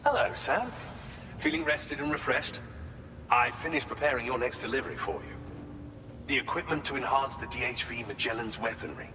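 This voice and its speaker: A man playing a friendly, eager research assistant in a game. His medium-pitched voice is chipper and informal, with long reverb and clear noise around it. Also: animated, normal speed, lightly acted. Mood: cheerful, helpful. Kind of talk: video game cutscene dialogue.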